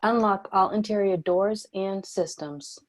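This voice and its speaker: A woman's voice: medium pitch, unhurried, natural voice. Woman as a direct, wary refugee leader. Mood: businesslike. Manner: direct, questioning. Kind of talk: screenplay table read.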